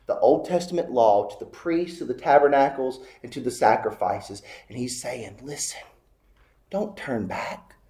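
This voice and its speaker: A man's voice: a little high, a little mellow. A man preaching a sermon, building urgency with repeated rhetorical questions. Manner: preaching with rising intensity, repetitive rhetorical questions. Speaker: pastor.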